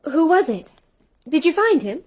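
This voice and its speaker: An actress playing an inquisitive, anxious wife in a radio play ghost story, speaking in an earnest, probing way. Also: female voice, high-pitched, sing-song, moderate pace. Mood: curious, watchful. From New York.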